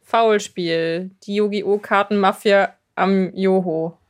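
A woman's voice: medium pitch, fairly even intonation, slow pace. A woman asking short questions as an interviewer in a casual chat.

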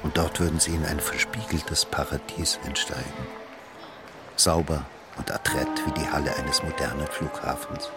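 An actor playing a coolly calculating male security consultant with a measured, persuasive manner in a radio drama. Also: very deep voice, fairly even intonation, loud music behind the voice. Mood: cool, visionary. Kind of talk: radio drama narration and dialogue.

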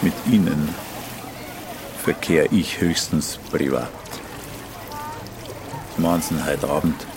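An actor playing a cocksure, flirtatious swimming-pool attendant with a Bavarian-Austrian accent, dry and terse, in a radio drama. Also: male voice, extremely low pitch, slow, pauses often, background noise, full character voice.

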